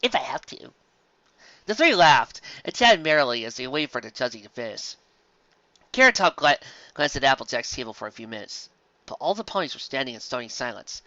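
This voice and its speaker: A reader reading a pony fan story aloud with expressive storytelling. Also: medium pitch, a little fast, no room sound, dull audio.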